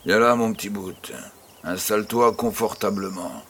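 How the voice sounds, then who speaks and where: Over clearly audible background noise, a low-pitched old farmer , acted by a man, speaks as a fond grandfather. He is teasing and unhurried, spinning tales for a child in an audio drama. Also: a bit bright. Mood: storytelling. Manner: affectionate, teasing, unhurried storyteller. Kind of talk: French-language audio drama acting.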